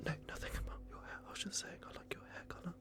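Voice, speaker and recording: A man voicing a playful, fussy vampire doctor in an ASMR roleplay, murmuring halting reassurances in a breathy whisper. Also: lightly acted. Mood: gently attentive. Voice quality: breathy whisper with gravelly creak.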